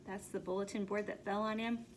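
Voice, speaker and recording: A woman reading a children's story aloud expressively, pausing to explain things simply to young listeners. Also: medium pitch, little pitch movement, slight background noise.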